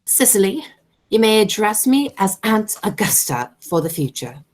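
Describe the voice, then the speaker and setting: A woman's voice: medium pitch, sing-song, moderate pace, a little mellow, natural voice. A woman playing an imperious, snobbish English aunt in a radio comedy, pompous and declamatory, stumbling now and then over the words. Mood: grandly condescending. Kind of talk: stage comedy as amateur radio play.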